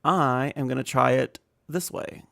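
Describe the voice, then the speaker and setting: A man's voice: mid-range voice, unhurried, creaky, fairly bright tone, dry recording, studio quality. A man from the United States live-streaming video games, chatting casually in a rambling, informal way. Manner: rambling, informal, mild swearing.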